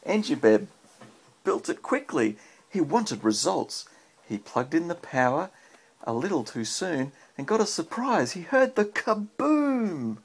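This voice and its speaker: A man reads a picture book to his child at an unhurried pace, with a slightly creaky voice and very animated pitch. He breaks off to chat and prompt the child with encouraging questions. It is a handheld phone recording with faint background noise. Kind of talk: read-aloud storytelling with casual chat to a child.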